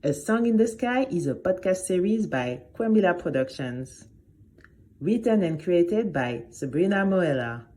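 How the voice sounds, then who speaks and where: A woman reading scripted podcast credits in a subdued, measured manner. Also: lowish voice, lively intonation, warm tone. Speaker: podcast creator and performer.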